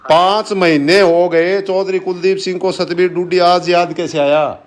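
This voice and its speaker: A man's voice: sits high, fairly even intonation, unhurried. A man arguing insistently yet respectfully in a recorded phone call, repeating his questions. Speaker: local supporter on a phone call. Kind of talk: argumentative phone conversation.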